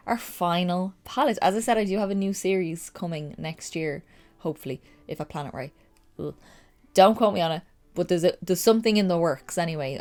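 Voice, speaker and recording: A woman's voice: lowish voice, a little mellow. A young woman with a stuffy, nasal voice from a cold, chatting casually through a makeup tutorial. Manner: chatty, rambling, upbeat. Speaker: makeup vlogger.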